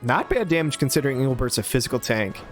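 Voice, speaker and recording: A man in his thirties giving quick, excited play-by-play of a game on a headset mic, his voice hoarse from a cold. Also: medium pitch, over music. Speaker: game caster. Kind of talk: play-by-play commentary.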